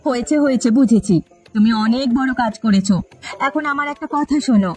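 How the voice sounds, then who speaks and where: A woman's voice: a little high, brisk, a little mellow, faint music behind, close to an everyday voice. A woman voicing a nagging, bossy, money-minded village wife in a cartoon, with a scolding manner. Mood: pragmatic, insistent. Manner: scolding, complaining. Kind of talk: Bengali cartoon story dialogue.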